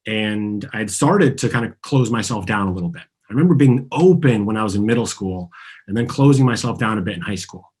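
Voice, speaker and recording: Husky-voiced man coaching tapping. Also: lowish voice, lively intonation, a little fast, darkish tone. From born in Argentina, raised in the US. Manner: earnest, guiding, has listeners repeat after him. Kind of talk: guided group tapping session.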